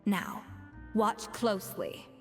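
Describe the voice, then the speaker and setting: Exacting haughty chef, animated voice. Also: female voice, mid-range voice, takes it slowly, in a large hall, music in the background. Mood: strict, careful. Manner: crisp, demanding, theatrical, bluntly critical. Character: exacting, haughty young female chef giving cooking lessons. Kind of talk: video game voice acting.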